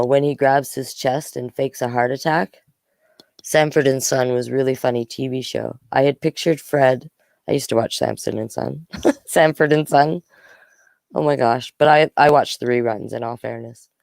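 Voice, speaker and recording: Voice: mid-range voice, gravelly, darkish tone, no echo. A storyteller reading a children's novel aloud in an informal way, acting out the dialogue. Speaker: storyteller, host of a read-aloud series.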